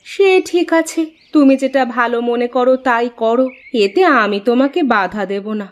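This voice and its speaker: A woman's voice: fairly high pitch, expressive, normal speed, natural voice. A woman voicing a poor farmer's devoted, fussing wife in a moral-story cartoon, on a dull recording. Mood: worried, caring.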